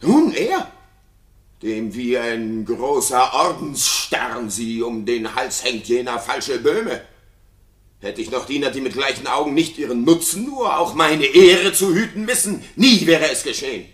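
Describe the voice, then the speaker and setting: A man's voice: medium pitch, lively intonation, unhurried. An actor playing a jealous, suspicious king in a classical radio play, declaiming theatrical verse with intensity. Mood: bitter, accusing. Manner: intense, declamatory, swinging from hushed to frenzied. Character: jealous, suspicious king raging at his supposedly unfaithful wife. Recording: roomy studio reverb.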